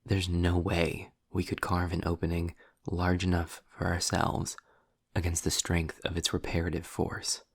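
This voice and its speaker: A man playing a sarcastic, impatient, erudite demon in a scripted audio roleplay, speaking in a wry, wordy way with dramatic pauses. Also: low-pitched, monotone, breathy edge, no room sound, full character voice. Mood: explaining, intent.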